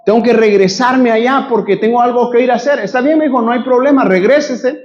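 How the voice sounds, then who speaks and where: A Guatemalan man preaches in church, with a strikingly high-pitched voice and a Guatemalan Spanish accent. He tells a personal story and keeps addressing the congregation as his brothers and sisters. The recording sounds dull and lo-fi. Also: a little fast, a little mellow. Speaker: pastor. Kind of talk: sermon with storytelling.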